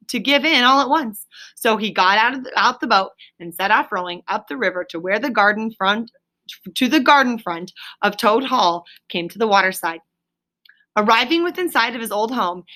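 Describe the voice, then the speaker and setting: Female storyteller, bright and forceful, expressive, explanatory, reading aloud for a young audience. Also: a little high. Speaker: reader, storyteller. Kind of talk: reading a children's story aloud, with asides to a listener.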